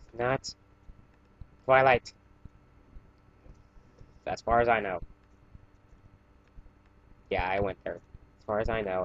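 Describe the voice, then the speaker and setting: A man casually reading fan fiction aloud in a halting way, stumbling and correcting himself, with chatty asides. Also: lowish voice, muffled recording.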